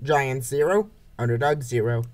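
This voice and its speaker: A man's voice: mid-range voice, moderate pace. A man reading scripted play-by-play narration of a comic baseball game. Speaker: narrator of a comic dub series.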